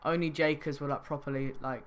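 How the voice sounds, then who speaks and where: A man's voice: fairly high pitch, steady tone, a little fast. Chatty young male game streamer. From Wales. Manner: casual, chatty, reads chat aloud. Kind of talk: live game-trading stream chat.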